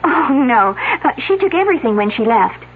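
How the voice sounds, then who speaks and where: An actress playing an outgoing, dismissive young student in a radio drama. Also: female voice, high-pitched, big pitch swings, moderate pace, husky, phone-quality sound, full character voice. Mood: evasive, dismissive. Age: young adult. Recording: band-limited old radio broadcast.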